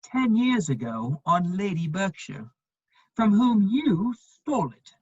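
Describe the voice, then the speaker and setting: Aloof society gentleman, female performer, husky and highly inflected, dry cutting wit, measured phrasing, for a period comedy reading. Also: big pitch swings, dry recording, highs cut off, exaggerated voice. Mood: cold, accusing. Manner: dry, measured, epigrammatic delivery. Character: witty, aloof upper-class gentleman, principled and cutting.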